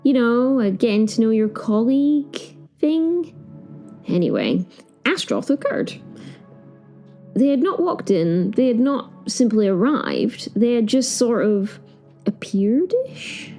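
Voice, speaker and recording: A woman playing a timid, flustered professor, telling her story in the first person with expressive, hesitant, wry asides. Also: on the high side, slow pace, soft background music, full character voice.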